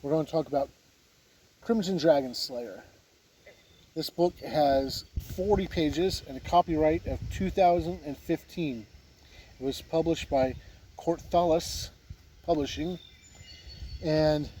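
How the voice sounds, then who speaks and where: A man giving an unhurried, deliberate review talk to camera, pausing often mid-sentence. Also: a little high, mellow, low-quality recording. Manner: deliberate, halting delivery with pauses mid-sentence. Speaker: tabletop game reviewer. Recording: windy noise, outdoor-sounding ambience. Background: wind noise.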